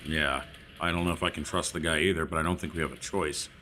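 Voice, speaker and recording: A man's voice: extremely low pitch, steady tone, fast, pushed and bright, slight background noise. A man playing a tabletop roleplaying game, talking through his character's plans in casual, improvised chat.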